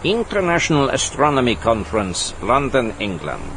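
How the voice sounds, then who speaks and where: An actor playing a haughty terrorist buyer in an audio drama, speaking formally and precisely. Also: male voice, mid-range voice, very animated, normal speed, noisy background, natural voice. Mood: formal, guarded.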